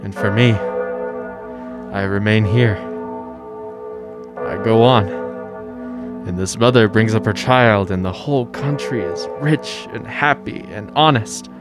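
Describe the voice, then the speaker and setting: Man playing tormented, brooding ex-convict, slow and low. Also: over music. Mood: agitated, self-justifying. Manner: brooding, halting, self-questioning soliloquy. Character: tormented ex-convict mayor wrestling with his conscience. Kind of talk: radio drama acting.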